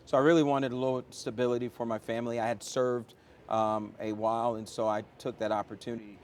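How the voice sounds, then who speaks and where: Middle-aged American male executive as interview guest, mid-pitched, motivational and conversational, slight noise behind. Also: little pitch movement, fast, a little mellow. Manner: emphatic, motivational, uses examples and rhetorical questions. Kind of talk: conversational interview answers, storytelling.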